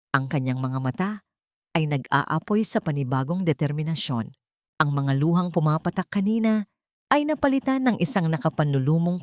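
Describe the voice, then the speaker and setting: Female Filipino narrator, lower register, measured dramatic storytelling, dry recording. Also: darkish tone, no room sound.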